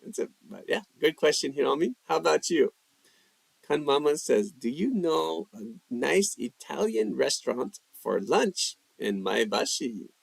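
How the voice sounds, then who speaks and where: Male stream host, slow and gravelly, lively pitch, casual chat-reading delivery. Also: a little hiss or hum. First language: English. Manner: unhurried, reads chat questions aloud, answers with fillers. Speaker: livestream language host. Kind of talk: casual live chat reading viewer questions.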